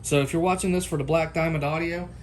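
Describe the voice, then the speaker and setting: A man's voice: on the high side, steady tone, fast talker, slight background noise. A man talking informally and off the cuff, like a product reviewer chatting to viewers in a homemade video. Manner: informal, folksy, unscripted. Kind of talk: casual unboxing and comparison vlog.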